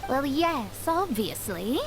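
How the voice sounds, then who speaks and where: A woman's voice: high voice, sing-song, slow pace, a loud crowd, heavily acted. A woman playing a proud, prim young astrologist in an anime-style video game, formal and a little self-important. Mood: dignified. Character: proud, slightly flustered young female astrologist, dignified.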